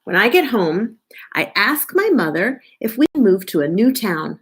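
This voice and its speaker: Animated woman reading a storybook, unhurried. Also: mid-range voice, husky. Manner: animated, drawn-out, deliberate delivery. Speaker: children's librarian reading aloud. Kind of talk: expressive picture book read-aloud for children.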